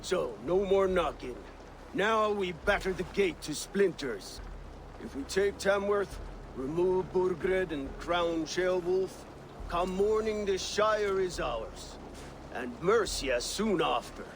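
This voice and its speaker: A commanding Viking war leader for a video game. He is blunt and wry, his voice a little high, with clear ambient noise behind it. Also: animated, slow pace, full character voice. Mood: resolute. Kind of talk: video game cutscene acting.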